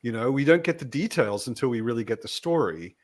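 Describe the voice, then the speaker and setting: A man's voice: medium pitch, animated, fairly quick. A man chatting casually as a panelist in a book discussion, often voicing imagined reactions.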